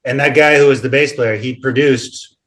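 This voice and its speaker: A middle-aged man born in the United States, with a medium-pitched voice. He is a singer being interviewed with his band, telling stories in a loose, conversational way. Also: steady tone, moderate pace, a bit bright, low-quality recording. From born in Seattle, United States. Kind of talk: casual group interview chat.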